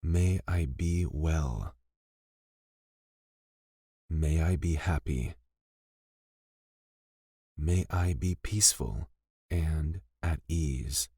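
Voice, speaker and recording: A man leading a scripted guided meditation in a gentle, soothing and deliberate manner, pausing between phrases. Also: rumbling low, slightly rough, no room sound, studio quality.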